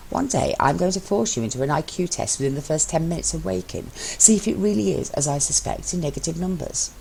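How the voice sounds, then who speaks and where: A woman voicing a bossy, sardonic husband in an amateur story reading, speaking with emphatic, deliberate sarcasm. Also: deep voice, gravelly, mellow, a little hiss or hum, pitched above the performer's own voice, low-quality recording.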